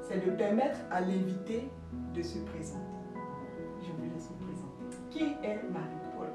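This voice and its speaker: A woman hosting a talk show, introducing her guest in a formal, measured way. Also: fairly low pitch, animated. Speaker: host. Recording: echoey studio, distant mic, guitar music.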